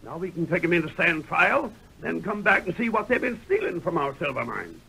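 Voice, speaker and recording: An actor playing a folksy old prospector sidekick with a rustic American drawl in an old radio western. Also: male voice, fairly high pitch, big pitch swings, brisk, gravelly, bright, forceful tone, breathy edge, full character voice. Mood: folksy, eager. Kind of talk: 1930s-40s radio western acting. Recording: noisy vintage radio recording.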